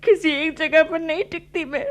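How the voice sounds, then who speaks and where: A woman's voice: high-pitched, normal speed, fairly bright tone. A woman acting a radio play role, a desperate fugitive who begs in panic for rescue, repeating her pleas. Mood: frightened, pleading. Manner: pleading, repeating words in panic.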